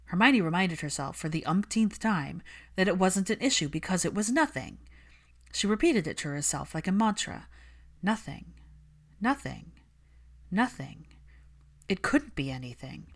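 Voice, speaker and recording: A woman's voice: lowish voice, sing-song, normal speed, long pauses. A woman reading fiction as an audiobook narrator.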